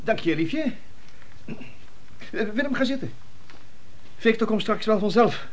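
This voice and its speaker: A man's voice: sits high, lively intonation, measured pace. A man playing a flustered middle-aged father in a radio play, stammering and hesitant yet bossy. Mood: awkward.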